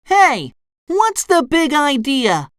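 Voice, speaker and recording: Lady doing a super high eager cartoon sponge kid, naive n wide eyed, voice jumps all over. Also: big pitch swings, takes it slowly, a bit bright, no echo, studio quality, exaggerated voice. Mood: startled curiosity. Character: excitable, naive young male cartoon sea sponge. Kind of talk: children's storybook character reading.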